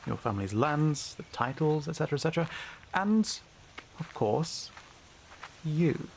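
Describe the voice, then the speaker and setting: A man's voice: on the high side, animated, unhurried, vocal fry, darkish tone, slight background noise, poor audio. A man playing a smug, scheming, haughty knight in a fantasy audio roleplay, with a pompous, mocking drawl. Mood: gloating menace.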